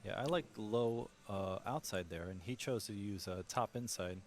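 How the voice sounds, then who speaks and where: Low-voiced analytical pool commentator. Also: male voice, normal speed. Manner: analytical, explains shot choices to viewers. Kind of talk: live sports commentary.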